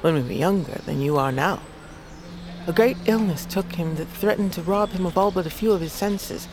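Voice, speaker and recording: An actress playing an old healer witch and grandmother in a fantasy audio drama, wry and wise, speaking in measured, cryptic storytelling phrases. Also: on the low side, noisy background, natural voice. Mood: firm, dignified. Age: older. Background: music behind the voice.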